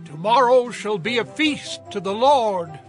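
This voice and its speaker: An actor playing a priestly leader addressing his people in a measured, proclaiming way in a scripture drama. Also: high voice, animated, faint music behind.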